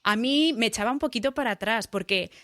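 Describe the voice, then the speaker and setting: A woman's voice: on the high side, quick pace, fairly bright tone, no echo. Spanish woman chatting, dry close sound. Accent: Spain. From Spain. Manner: chatty, many restarts and fillers. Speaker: guest. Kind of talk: casual interview chat.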